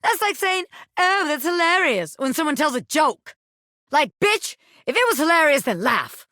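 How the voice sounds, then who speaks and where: A woman's voice: high-pitched, big pitch swings, moderate pace, sharp tone, no room sound, clean studio sound, full character voice. A woman voicing a cocky, self-important bobby pin who fancies itself a crime boss in a video game, swaggering, slangy and boastful. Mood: indignant. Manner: swaggering, slangy, boastful, peppering talk with pet phrases. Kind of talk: video game character voice acting.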